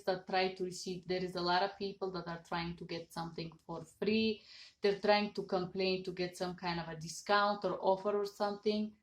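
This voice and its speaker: A woman in her thirties with a Bulgarian accent, earnestly giving business advice to a webcam and stressing each numbered point. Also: lowish voice. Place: home office with certificates on wall. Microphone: webcam at desk. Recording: echoey room.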